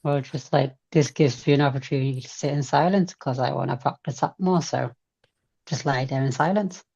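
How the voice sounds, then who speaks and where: The voice is creaky, with a steady fry running through it. It speaks in a candid, reflective, conversational way, as in a casual chat. The recording is slightly dull and lo-fi. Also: mid-range voice, fairly quick. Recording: dull, lo-fi sound.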